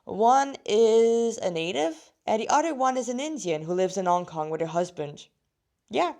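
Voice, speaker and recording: A woman's voice: medium pitch, lively intonation, normal speed, darkish tone. A woman with a slightly rusty voice reading her own story aloud, casually and with rambling asides, often breaking off mid-sentence.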